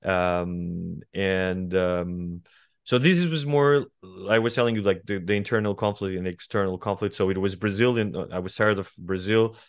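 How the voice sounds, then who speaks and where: A man in his forties from Portugal with a Portuguese accent, speaking as a guest writer in an interview, thoughtful and hesitant, often pausing and restarting. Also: low voice, smooth, no room sound, studio quality. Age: 40s. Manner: reflective, hesitant with many fillers and restarts. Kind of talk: conversational interview.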